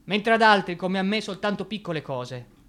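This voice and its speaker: A man's voice: sits high, animated, moderate pace, fairly bright tone. An actor playing an anxious, conscientious and stubborn young male copyist in an Italian radio drama, speaking with nervous, earnest insistence. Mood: troubled, confiding.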